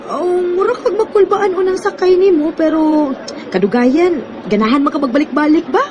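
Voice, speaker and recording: A woman's voice: high voice, normal speed, noisy background, close to an everyday voice. An actress playing a playful, encouraging teenage schoolgirl in a radio drama, with a Cebuano accent and a teasing manner. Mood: excited, reassuring. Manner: giggly, teasing. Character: playful, encouraging teenage high school girl, best friend. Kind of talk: Bisaya radio drama acting.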